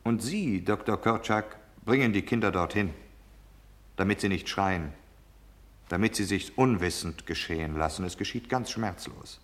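Actor as a cold, domineering military officer, low voice. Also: male voice, normal speed, close to an everyday voice. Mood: cold menace. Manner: curt, commanding, impatient, cynical. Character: cold, domineering military officer delivering deadly orders, male. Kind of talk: German radio drama acting.

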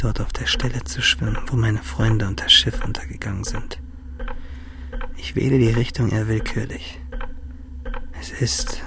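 A man playing a freezing, exhausted shipwrecked fisherman, alone and delirious at sea, in a halting, breathy radio drama monologue. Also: fairly low pitch, noisy background, natural voice. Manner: halting, rambling, breathy whispers breaking into pleading. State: cold, exhausted.

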